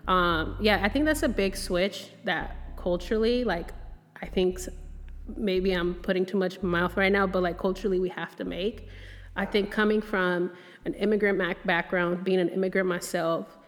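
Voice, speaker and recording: A woman hosting a podcast in a casual conversation, encouraging her guest with animated affirmations. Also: lowish voice, normal speed, long reverb tail.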